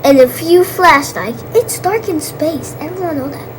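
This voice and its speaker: A woman's voice: high voice, expressive, normal speed, a little hiss or hum. A woman co-reading a children's story, echoing phrases and chiming in with playful comments. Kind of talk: playful interjections during a read-aloud.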